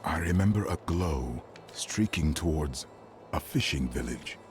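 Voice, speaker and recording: An actor playing a solemn, mysterious stranger in a fantasy video game, speaking in a halting, formal way. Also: male voice, a bass voice, animated, faint music behind. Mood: brooding, uncertain. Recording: rain noise behind the voice.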